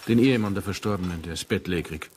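An actor playing a composed country family doctor in a radio drama, measured, formal and clinical in manner. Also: male voice, deep voice, a little mellow, a little hiss or hum, natural voice. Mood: composed, factual. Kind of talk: naturalistic radio drama acting.

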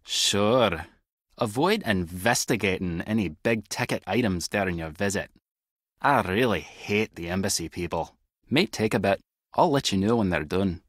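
A clever Scottish intelligence agent in an adventure game. His husky voice sits fairly low and swings freely in pitch. He is wry and tech-savvy, recorded close and dry. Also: animated, normal speed, a bit bright, no room sound. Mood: wry, explanatory. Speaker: video game voice actor. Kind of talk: scripted adventure game dialogue.

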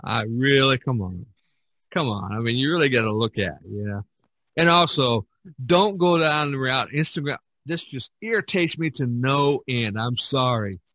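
A man's voice: medium pitch, expressive, moderate pace, sharp tone. A man hosting a podcast in a casual, chatty style, often chuckling as he talks. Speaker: podcast host.

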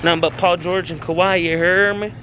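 A young man with a fairly high voice banters into a handheld camera. He is slangy and joking, leaning on repeated catchphrases, and there is clear background noise around him. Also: fairly even intonation, fairly quick. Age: young adult. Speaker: vlogger. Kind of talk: casual slangy vlog banter. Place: store, parking lot and car. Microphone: handheld camera at arm's length. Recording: noisy handheld vlog audio.

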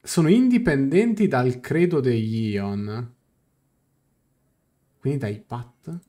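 Mellow young man thinking aloud. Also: medium pitch, animated. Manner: halting, thinking aloud, casual swearing. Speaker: game streamer. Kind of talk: live reaction, translating game dialogue aloud. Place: small room indoors, on webcam.

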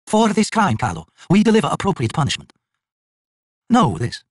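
A man's voice: fairly high pitch, wildly swooping pitch, slightly rough, dry recording, clean studio sound, pitched above the performer's own voice, full character voice. A man as a grave, anguished elder god. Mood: solemn cursing. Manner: slow, portentous, intense.

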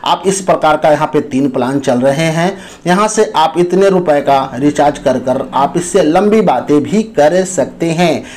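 Man with higher-pitched voice, app tutorial. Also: moderate pace, few pauses, darkish tone. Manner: instructional, addresses viewers as friends. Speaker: tutorial host. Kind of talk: informal step-by-step app tutorial narration.

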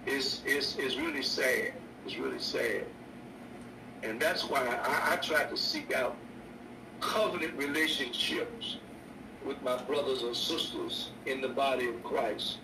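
An older man, a bishop preaching a sermon on his radio show, slow and repetitive with stammered restarts. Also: mid-range voice, vocal fry. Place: indoor room. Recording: echoey distant mic with noise.

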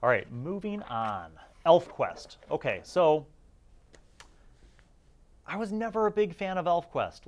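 A man's voice: mid-range voice, clear, resonant tone. A middle-aged man giving casual, conversational review commentary as the host of a gaming series, chatty and informal with emphasis on key words.

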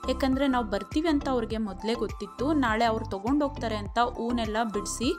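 A young woman narrates her vlog in a steady, explanatory voice-over, slipping English words into her sentences. Her voice sits a little high for a woman. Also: a little fast, over music. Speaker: vlogger.

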